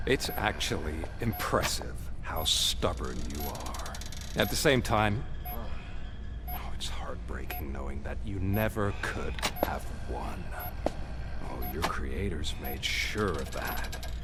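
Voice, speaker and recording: An actor playing a scheming male villain who taunts in a theatrical, then hushed, menacing way. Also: rumbling low, sing-song, takes it slowly, loud music behind the voice, full character voice. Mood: whispering taunt. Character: gloating scheming male crime boss villain.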